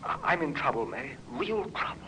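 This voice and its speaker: An actor playing a young artist accused of murder, speaking haltingly in an old-time radio drama. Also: male voice, mid-range voice, sing-song, close to an everyday voice. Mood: anxious pleading. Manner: hesitant, halting. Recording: noisy old radio broadcast.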